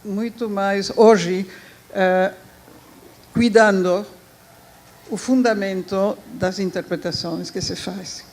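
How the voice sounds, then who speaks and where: An older woman giving a speech at a public event, halting and searching for words. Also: medium pitch, fairly even intonation, a little mellow.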